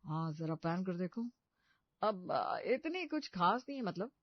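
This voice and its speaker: A woman's voice: fairly low pitch, sing-song, a little slow, no room sound, muffled recording. A woman voicing a whiny, hesitant young son-in-law in an exaggerated Hindi comedy cartoon. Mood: reluctant, awkward.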